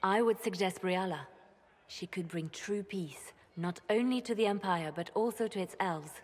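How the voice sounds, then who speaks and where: An actress playing a grave, composed and calculating spymaster advisor in a video game, speaking in a measured, persuasive manner. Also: female voice, mid-range voice, lightly acted. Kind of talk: video game cutscene acting. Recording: long hall reverb.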